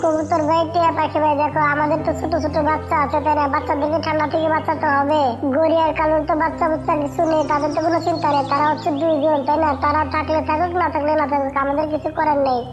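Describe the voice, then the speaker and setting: A woman's voice: sits high, little pitch movement, racing, music in the background, lightly acted. A woman voicing a small, worried, bossy talking bird in cartoon story dialogue, often pressing for agreement. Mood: anxious, planning.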